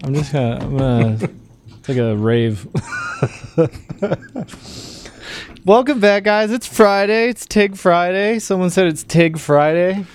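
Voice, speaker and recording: A man's voice: high voice, takes it slowly, long pauses, a little hiss or hum. A man hosting a podcast with casual, teasing, joking banter. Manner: animated, teasing. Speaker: podcast host.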